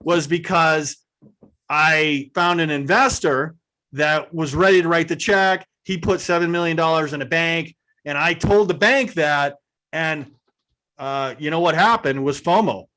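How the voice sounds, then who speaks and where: Guy hosting a q&a call, slightly high voice, chatty and full of little stories. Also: measured pace, sharp tone, rough recording. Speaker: host answering audience questions. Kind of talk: casual question-and-answer talk on a video call.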